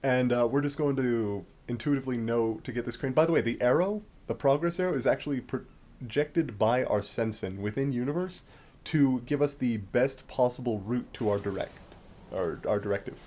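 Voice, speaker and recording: A man's voice: mid-range voice, normal speed, darkish tone. A man giving casual, explanatory gameplay commentary with a sardonic streak and some mild swearing. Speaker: Let's Play host and commentator. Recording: game music behind the voice. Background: video game music.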